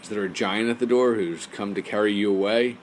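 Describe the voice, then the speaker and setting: Man reading as a firm, low-voiced fatherly king. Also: brisk, a little hiss or hum, natural voice. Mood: concerned questioning.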